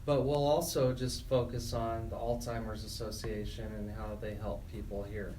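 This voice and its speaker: A man's voice: on the low side, little pitch movement, normal speed, dark tone. A middle-aged man hosting a talk radio show, speaking as an attorney and announcer. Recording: distant mic, noticeable background noise.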